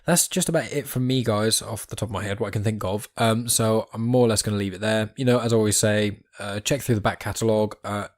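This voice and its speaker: A man with a fairly low voice hosts a podcast in a casual, unscripted style. He talks fast and rambles chattily, tossing in self-deprecating asides. The sound is close and dry, with little room on it. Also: steady tone, dry recording. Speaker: podcast host.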